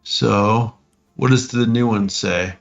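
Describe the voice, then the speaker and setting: A man co-hosting a casual tabletop gaming discussion, explaining things in a hesitant, halting way. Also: on the low side, fairly even intonation, vocal fry. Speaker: podcast co-host. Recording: muffled lo-fi mic, faint music.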